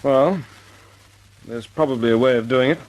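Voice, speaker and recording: A man's voice: mid-range voice, normal speed, a little mellow, natural voice, rough recording. An actor playing a confident young male squadron leader in a 1940s radio drama. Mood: brisk.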